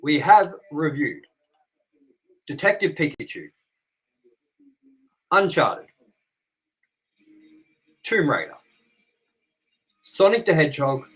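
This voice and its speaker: A man's voice: medium pitch, fairly bright tone, poor audio. A young man hosting a casual review podcast, joking crudely and swearing often. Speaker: podcast host.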